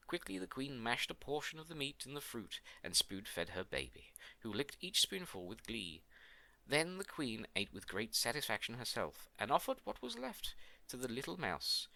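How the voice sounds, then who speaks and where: Male storybook narrator: theatrical, highly animated, bright with a gravelly edge. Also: normal speed, no echo. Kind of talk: scripted fairy tale narration.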